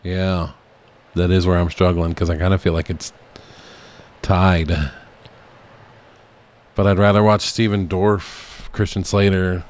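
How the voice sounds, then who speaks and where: A man with a low-pitched voice. He co-hosts a podcast and speaks in a loose, conversational style. His wording is informal throughout. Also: little pitch movement, slow pace, pauses often. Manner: informal, occasionally profane. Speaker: podcast co-host. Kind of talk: casual podcast chat.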